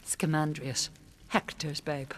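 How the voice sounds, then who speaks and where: A husky, grieving defiant queen. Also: deep voice, little pitch movement, in a large hall. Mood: guarded. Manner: bitter, measured, scornful. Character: grieving, defiant older queen of a fallen city. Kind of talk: first-person radio drama narration.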